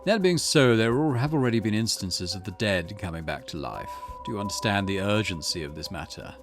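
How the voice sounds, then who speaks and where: A man's voice: fairly low pitch, lively intonation, a little fast, over music. A man voicing a stern, insistent company man with a formal, commanding manner in a visual novel game. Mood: urgent. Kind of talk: visual novel character reading.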